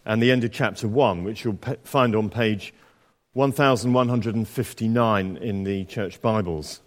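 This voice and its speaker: A man preaching a sermon and reading scripture aloud, with a slightly croaky voice and a conversational, self-deprecating manner full of fillers and restarts. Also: lowish voice, normal speed. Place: church. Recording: long church reverb.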